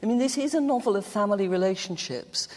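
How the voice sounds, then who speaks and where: A woman speaking as a guest in a reflective literary discussion, with a halting, measured delivery. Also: mid-range voice, a little mellow. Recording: very reverberant hall.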